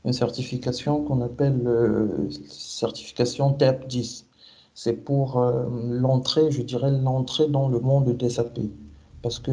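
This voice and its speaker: A man from Cameroon talking through his career in an interview. He speaks very slowly and hesitantly, pausing on fillers and restarting phrases. His voice is very dark and mellow. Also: medium pitch. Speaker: SAP consultant guest. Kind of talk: interview answers.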